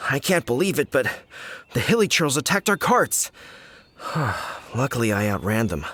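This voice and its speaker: A man's voice: medium pitch, big pitch swings, moderate pace, a bit bright, dry recording. A man voicing a flustered, indignant fruit merchant in a video game. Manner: flustered and indignant, sighing and complaining.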